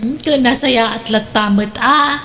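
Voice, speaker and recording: Brief: elderly Ilocano mother, very high voice, patient and affectionate, audio drama delivery. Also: a little slow, fairly bright tone. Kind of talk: radio drama acting.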